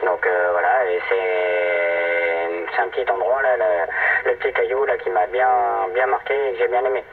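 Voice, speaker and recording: A man's voice: low voice, fairly even intonation, moderate pace. A middle-aged Frenchman chatting casually and hesitantly over a CB radio link, rambling in an easygoing way. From metropolitan France. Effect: CB single-sideband radio. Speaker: amateur CB radio operator. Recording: narrow tinny radio link, noisy, distant.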